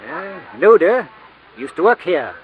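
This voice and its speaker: An actor playing a cantankerous, folksy cowboy drive-in manager with an American Western drawl in an old radio drama. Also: male voice, sits high, very animated, measured pace. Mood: matter-of-fact. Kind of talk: 1950s radio comic character acting. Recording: muffled, noisy old radio recording.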